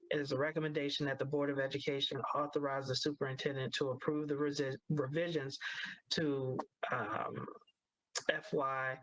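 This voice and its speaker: A man chairing a board meeting, reading motions and calling for votes in a halting, procedural way. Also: medium pitch, a bit bright, no room sound, rough recording.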